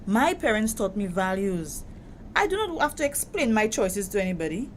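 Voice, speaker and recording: A proud, wounded young Caribbean woman played by an actress in an audio drama. Her conversational delivery is emphatic and indignant, with a very lively pitch, over noticeable background noise. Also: normal speed, lightly acted. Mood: defensive.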